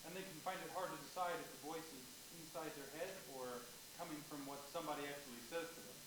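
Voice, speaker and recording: A man's voice: a little high, quick pace, lightly acted. A man playing an earnest, knowledgeable explainer in a staged play reading, distant in a very echoey, noisy theatre. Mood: explaining. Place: indoor stage of a university theatre.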